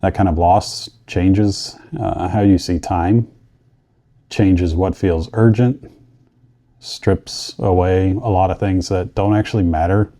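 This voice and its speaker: A middle-aged man narrating his personal story in measured, earnest, deliberate short phrases. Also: deep voice, steady tone, mellow. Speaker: vlogger telling his own story.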